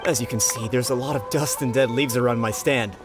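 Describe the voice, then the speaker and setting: Man as a friendly, medium-pitched market vendor. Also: fairly even intonation, brisk, lightly acted. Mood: apologetic, hopeful. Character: friendly, busy, grateful male market vendor. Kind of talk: anime-style video game voice acting. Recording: music behind the voice.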